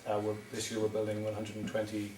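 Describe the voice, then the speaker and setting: Hesitant Irish man, low and flat. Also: quick pace, slight background noise. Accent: Irish, County Wexford. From Ireland. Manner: hesitant, many ums and restarts. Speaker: wind energy developer presenting at a town meeting. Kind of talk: public presentation. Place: town meeting hall. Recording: echoey hall recorded from far off.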